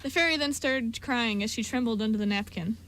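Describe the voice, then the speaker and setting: A woman with a fairly high voice reads story narration from a script for a radio play. A faint hum sits behind her. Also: fairly quick, a bit bright.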